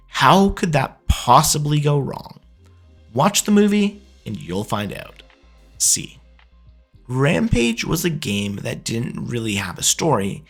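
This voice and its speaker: A man's voice: mid-range voice, creaky, fairly bright tone, breathy edge. A man giving opinionated, emphatic review commentary as a video host.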